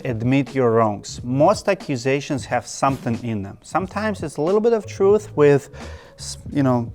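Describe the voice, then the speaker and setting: A man's voice: mid-range voice, darkish tone. A man from Russia with a Russian accent, hosting his channel and giving earnest, direct advice to camera point by point. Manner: earnest, direct, list-by-list advice with emphatic repetition. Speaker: channel host.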